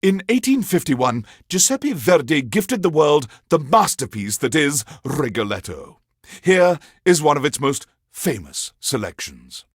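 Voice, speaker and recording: An actor playing a pompous alien warlord who hosts a classical music radio show, savoring each phrase in a grandiose, theatrical way. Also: male voice, a little high, sing-song, measured pace, slightly rough, no echo, clean studio sound, full character voice. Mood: smug, grand. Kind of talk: theatrical scripted radio DJ monologue.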